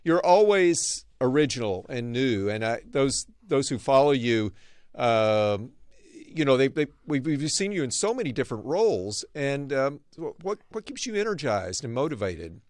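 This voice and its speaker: A man hosting an interview podcast, speaking in a measured way with hesitant restarts. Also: medium pitch, big pitch swings, a little mellow, no echo, studio quality. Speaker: podcast host; medical school dean.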